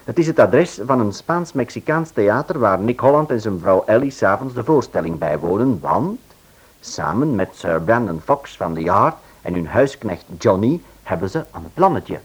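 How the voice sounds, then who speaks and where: A man's voice: fairly low pitch, very animated, normal speed, breathy edge, rough recording. A man narrating and announcing a radio detective serial in a formal, dramatic manner. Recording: hissy old radio recording.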